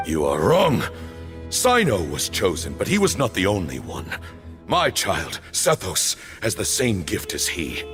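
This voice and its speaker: A man playing a stern, bitter temple leader in a video game, speaking in a formal, grave, measured way. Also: deep voice, huge pitch swings, heavily acted. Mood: commanding, resolute. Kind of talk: dramatic video game voice acting. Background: background music.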